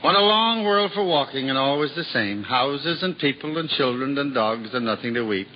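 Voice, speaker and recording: An actor playing a weary, hungry old wandering juggler with a lilt, in a 1950s radio play, wistful and self-mocking. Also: male voice, mid-range voice, lively intonation, moderate pace, lightly acted. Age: older. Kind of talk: 1950s radio drama acting. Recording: dull old radio broadcast with crowd noise.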